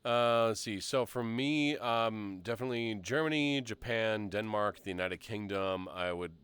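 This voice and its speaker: A man with a very low, bright and smooth voice hosts a casual live stream. He reads out questions from viewers and rambles through his answers in a relaxed, easygoing way. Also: normal speed. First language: English. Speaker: show host.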